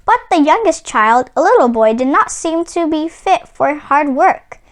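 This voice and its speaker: Very high, animated woman reading a children's story. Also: measured pace. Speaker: storyteller for a children's read-aloud channel. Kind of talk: scripted children's story read aloud.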